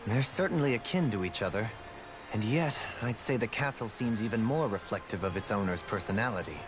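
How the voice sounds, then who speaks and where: A man voicing a grave, calm warrior. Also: lowish voice, background noise, natural voice. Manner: measured, grave and analytical. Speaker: game character. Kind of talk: game cutscene dialogue. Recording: studio voice over game music.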